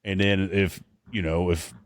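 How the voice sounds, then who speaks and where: A man's voice: low-pitched, little pitch movement, a little slow, dry recording. A man chatting casually as a podcast co-host, rambling and bluntly swearing as he reacts to what he watches. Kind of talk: casual chat reacting to trailers.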